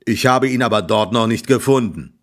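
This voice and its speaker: A man voicing a gruff, stout middle-aged farmer who scolds and threatens in a rough voice in an audiobook. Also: mid-range voice, moderate pace, close to an everyday voice. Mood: gruff, threatening. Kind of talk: audiobook character voice.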